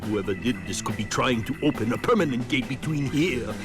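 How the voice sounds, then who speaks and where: A man's voice: medium pitch, expressive, fairly quick, lightly acted. A man voicing a grave, dramatic martial-arts mentor in a video game. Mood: solemn. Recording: game music behind the voice.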